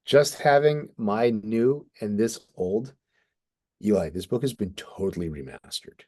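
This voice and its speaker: A man co-hosting a casual talk about comic books, speaking as an excited fan who swears mildly. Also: on the low side, measured pace. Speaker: podcast co-host. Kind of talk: casual enthusiastic comic book discussion.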